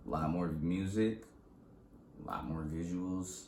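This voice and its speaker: A man's voice: deep voice, unhurried, reverberant. A young man from Southern California, a rapper, talking casually and animatedly in an interview, with lots of slang. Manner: slangy, animated storytelling with laughs. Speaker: rapper guest.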